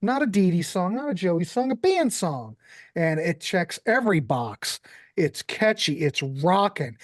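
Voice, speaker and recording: A man's voice: sits high, a little mellow, dry recording, studio quality. A man hosting a casual music podcast, chatty and upbeat as he passes the talk to guests. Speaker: host.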